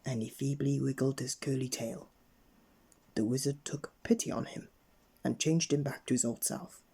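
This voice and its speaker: A man narrating a children's bedtime story in an expressive read-aloud manner. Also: medium pitch, moderate pace, gravelly, slightly rough. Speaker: storybook reader.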